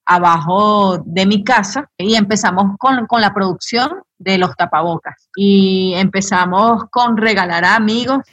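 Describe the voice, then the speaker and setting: A woman's voice: mid-range voice, unhurried, few pauses, a bit bright, dry recording, muffled recording. A woman, a textile workshop owner giving a plain, matter-of-fact interview. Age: 30s.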